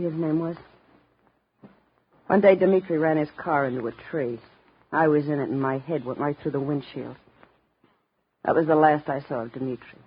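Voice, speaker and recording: A muffled vintage radio recording of a tough showgirl. Her voice is husky and bitter, with slangy, melodramatic phrasing and a wounded edge. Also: deep voice. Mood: hard-bitten. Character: bitter, wounded showgirl, a young woman with a past. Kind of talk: 1950s radio drama acting.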